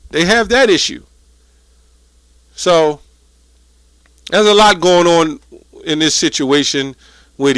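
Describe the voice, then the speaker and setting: A man's voice: on the high side, fairly bright tone, smooth, no room sound. A man giving informal religious teaching and scripture commentary in a colloquial way, often checking in with short rhetorical questions.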